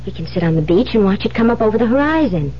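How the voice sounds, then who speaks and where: A woman's voice: medium pitch, animated, fast, natural voice. Casting: woman playing a wary young wife, questioning and hesitant, swooping intonation, dull vintage radio sound. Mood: feigned lightness. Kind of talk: 1970s radio drama acting. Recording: muffled, noisy 1970s radio recording.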